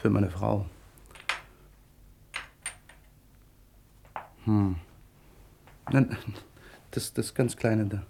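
A man's voice: lowish voice, takes it slowly, vocal fry, mellow, a little hiss or hum. A man acting in a German radio play as a grumpy, sarcastic husband who hates shopping, with a blustering, disdainful manner. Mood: hesitant, bashful.

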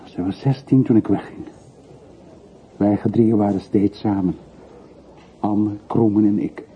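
A man's voice: lowish voice, steady tone, a little slow, natural voice. A man acting in a radio drama as a wistful, commanding man looking back on his hometown, reflective and formal. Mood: reminiscing. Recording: muffled phone-like old radio recording, faint noise.

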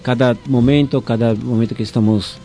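A man, a band member and guest, talking in a casual radio interview, speaking Spanish as a second language and pausing often to search for words. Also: mid-range voice, steady tone, dark tone. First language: not Spanish.

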